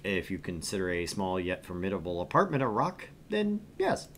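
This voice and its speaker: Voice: low-pitched, sing-song, normal speed, a little hiss or hum. A game streamer reading a visual novel aloud with casual, wry commentary. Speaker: gaming streamer.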